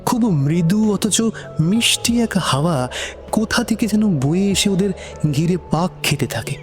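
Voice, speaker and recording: A man narrating an emotional romantic story from a script. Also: fairly high pitch.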